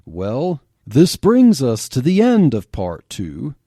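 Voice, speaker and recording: A man hosting a podcast, reading a story aloud with expressive narration. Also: medium pitch, slow, dry recording, clean studio sound.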